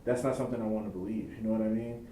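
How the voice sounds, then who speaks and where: A young man speaks reflectively in a casual interview, stringing his thoughts together loosely. His voice is low and mellow. He sounds set back from the mic in an echoey room with a faint rumble. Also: steady tone, very fast, recorded from a distance.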